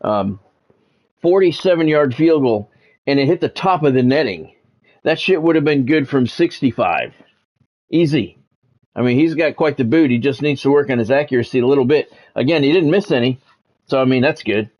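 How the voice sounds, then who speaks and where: A man's voice: medium pitch, expressive, normal speed, a little mellow. A man giving casual, rambling, conversational commentary as he hosts a football video game stream. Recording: dull lo-fi audio with music behind.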